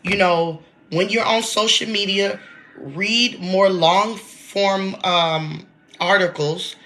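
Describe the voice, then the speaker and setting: A woman's voice: medium pitch, steady tone, slow, a bit bright, a little hiss or hum, low-quality recording. A woman in her late thirties, congested from allergies, chatting casually to her viewers in a vlog and often calling out to them directly. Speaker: vlogger. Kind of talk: casual talk to camera.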